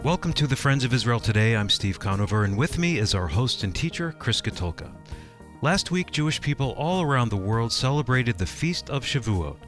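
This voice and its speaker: A man's voice: medium pitch, lively intonation, brisk, over music. A man announcing a radio program with a scripted introduction.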